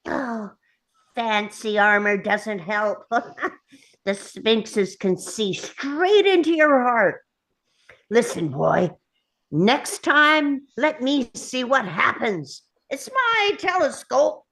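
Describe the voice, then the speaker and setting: A woman voicing a tiny old male gnome scientist, vain, fussy and impatient, in an animated script reading. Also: a little high, slow, heavily acted. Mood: scolding. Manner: impatient, self-important, fussy. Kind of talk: animated table-read acting.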